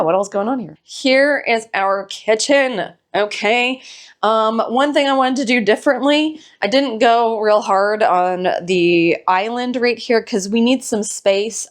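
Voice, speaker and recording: A woman hosting her channel, giving a chatty, rambling home tour while hoarse from recovering from an illness. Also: mid-range voice. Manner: bubbly, rambling, self-deprecating. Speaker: host of a home decor channel.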